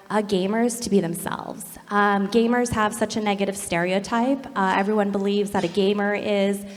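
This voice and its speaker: Candid woman on a panel in a hall. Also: mid-range voice. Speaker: panelist, gaming company executive. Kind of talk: panel discussion. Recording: long hall reverb.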